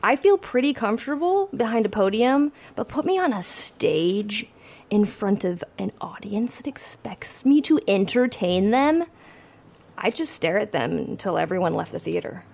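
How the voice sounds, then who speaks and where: A woman's voice: mid-range voice, lively intonation, normal speed, creaky, a little mellow. A young woman playing an ambitious finance student in an audio drama, talking in a dry, self-mocking way. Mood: wry. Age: young adult.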